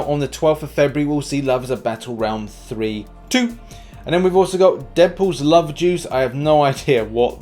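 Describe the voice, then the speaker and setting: A man's voice: a little high, a little mellow. A man hosting a gaming news video, delivering commentary in an informal, conversational way. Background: background music.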